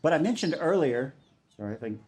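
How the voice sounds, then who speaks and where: A man's voice: fairly high pitch, big pitch swings, fast, a little mellow, a little hiss or hum. A man giving a conference talk in a casual, enthusiastic way, with many fillers. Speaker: speaker.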